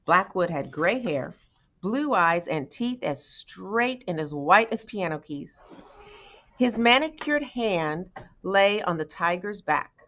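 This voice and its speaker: A woman's voice: fairly low pitch, animated, slow, fairly bright tone, highs cut off. A woman reading a children's storybook aloud as narrator. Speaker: audiobook reader.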